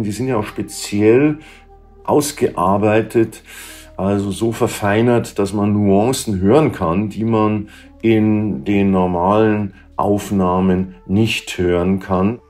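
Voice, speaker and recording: A man's voice: lowish voice, darkish tone. A middle-aged man, a planetarium director, commenting in an interview.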